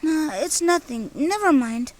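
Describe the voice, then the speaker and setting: A woman's voice: sits high, lively intonation, a little slow. A woman voicing a blunt, impatient boy. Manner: blunt and dismissive. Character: impatient, gruff young boy. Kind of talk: video game cutscene dubbing.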